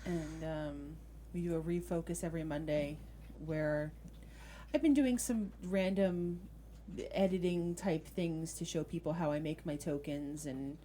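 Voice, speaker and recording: A woman's voice: low-pitched, a little slow, mellow, a little hiss or hum. A woman chatting casually as a game master telling people about the shows they put on. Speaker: tabletop game master promoting own shows. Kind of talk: casual chat.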